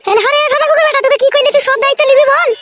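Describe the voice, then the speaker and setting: A woman's voice: extremely high pitch, fairly even intonation, very fast, noisy background. A woman voicing a furious, foul-mouthed young wife in a comedy cartoon, scolding and cursing. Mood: accusing, furious.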